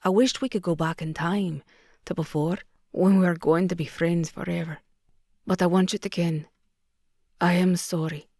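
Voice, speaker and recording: A young Scottish man speaks low and hushed, full of earnest regret, as a woman puts him on. His voice sits on the lower side and comes through dry and close, with a gentle Scottish lilt. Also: fairly even intonation, normal speed, dry recording.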